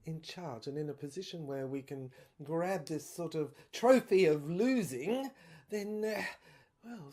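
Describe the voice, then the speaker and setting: A woman's voice: deep voice, dark tone. A woman playing a genteel, boastful male ex-barrister in an audio drama, who rambles deferentially and keeps correcting himself. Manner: hesitant, digressive, self-correcting. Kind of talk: serial audio drama acting.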